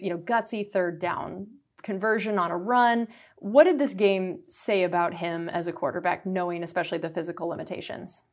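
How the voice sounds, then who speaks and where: Young woman asking long interview questions. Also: lowish voice. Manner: long wordy questions with fillers. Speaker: team reporter and show host.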